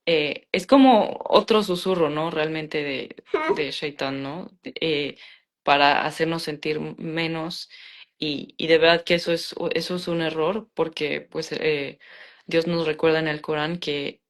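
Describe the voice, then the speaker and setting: A woman's voice: low-pitched, steady tone, a little slow. A woman co-hosting a casual live-stream chat, talking in a conversational way with many fillers and tag questions, over a video call. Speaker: co-host of a live talk show.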